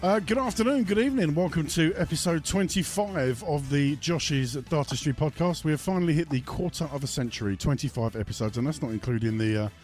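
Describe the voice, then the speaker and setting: A man's voice: a little high, fast talker, few pauses, darkish tone, faint music behind. Chatty man hosting a podcast, a bit snotty. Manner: chatty, rambling, many ums and restarts. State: has a cold. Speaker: podcast host. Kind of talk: casual chat.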